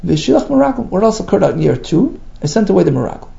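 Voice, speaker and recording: Creaky sing-song man teaching Talmud. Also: sits high, very animated, fast talker, warm tone. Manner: sing-song, questioning, reads Hebrew then explains. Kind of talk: Talmud study lesson, reading and translating. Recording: dull lo-fi recording.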